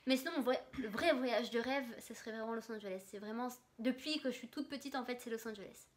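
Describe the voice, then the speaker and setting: A woman's voice: on the high side, fast. A young woman co-hosting a casual question-and-answer chat with a friend, talking freely and restarting her sentences. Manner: chatty, restarts sentences, laughs along. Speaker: co-host of a lifestyle blog channel.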